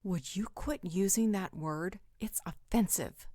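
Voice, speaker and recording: A woman's voice: mid-range voice, a little slow, dark tone, no echo, studio quality, lightly acted. A woman playing a perceptive, frank confidante in an audio roleplay, reasoning in a direct, insistent way. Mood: offended.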